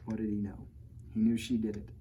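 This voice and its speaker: A man's voice: lowish voice, monotone, a little fast, warm tone, slight background noise. A man reading a picture book aloud to children in a playful, conversational way, breaking off to chat and ask them questions. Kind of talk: animated storytime read-aloud with chatty asides to children.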